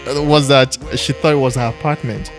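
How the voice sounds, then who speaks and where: A man from Nigeria who hosts a jazz podcast, talking in a loose, animated way with many restarts. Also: mid-range voice, normal speed, soft background music. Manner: animated and storytelling, with laughs and restarts. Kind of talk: casual conversation.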